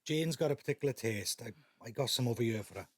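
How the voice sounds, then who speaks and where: Husky, sardonic son played by an actor. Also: male voice, medium pitch, expressive, fast, dry recording, close to an everyday voice. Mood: brisk. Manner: terse, dry, sardonic. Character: resentful, prickly grown-up Welsh son. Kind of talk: naturalistic audio drama acting.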